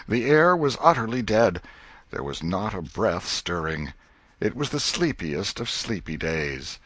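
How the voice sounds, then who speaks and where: Man, deep voice, audiobook narrator, scripted literary narration, dry close recording. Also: fairly bright tone, no echo.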